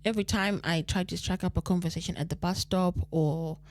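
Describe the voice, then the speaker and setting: A woman's voice: on the low side, fairly quick. A Zimbabwean woman co-hosting a podcast, chatting casually and reading a listener letter, slipping into Shona now and then. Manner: relaxed, repeats phrases, mixes Shona into English. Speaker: podcast co-host.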